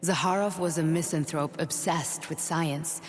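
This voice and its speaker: Low-voiced, intense woman scientist in reverb. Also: steady tone, a little slow. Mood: explanatory. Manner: earnest, pointed, explanatory. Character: intense, defiant woman scientist, knowing and urgent. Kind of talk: video game cutscene acting. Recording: long reverberant hall sound.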